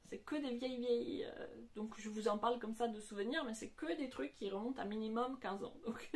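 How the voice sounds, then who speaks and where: A woman's voice: a little high, darkish tone. A woman of about forty, whose first language is not French, talking casually to camera about books she has read, hesitating often and restarting her sentences. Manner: hesitant, many fillers and restarts. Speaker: book vlogger. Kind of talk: casual book talk to camera.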